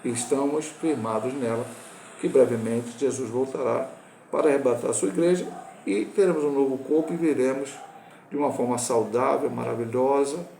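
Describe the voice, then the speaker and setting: Gravelly Brazilian pastor in his sixties. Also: mid-range voice, warm tone, echoey room, a little hiss or hum. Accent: Brazilian Portuguese. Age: 60s. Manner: halting, with restarts and self-corrections. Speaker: pastor teaching a Bible lesson. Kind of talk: Bible study teaching.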